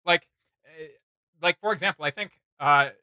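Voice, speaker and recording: A man's voice: a little high, moderate pace, sharp tone, clean tone, dry recording, clean studio sound. A man co-hosting a reaction video, chatting casually in a rambling, enthusiastic way about what he likes. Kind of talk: casual opinion chat with co-host.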